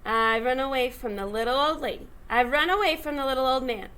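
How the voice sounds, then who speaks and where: A woman's voice: high-pitched, a little fast, pushed and bright, low-quality recording. A woman voicing a cheeky, boastful little gingerbread boy in a storybook, chanting his taunts in a sing-song way. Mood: proud taunting.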